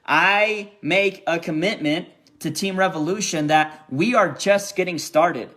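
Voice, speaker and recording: A young man with a fairly high voice delivers a rousing pep talk to his sales team. He hammers his points through repetition and keeps tossing in quick check-ins with his listeners. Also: sharp tone. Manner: emphatic and repetitive, keeps checking in with "okay?" and "right?". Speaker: network marketing team leader.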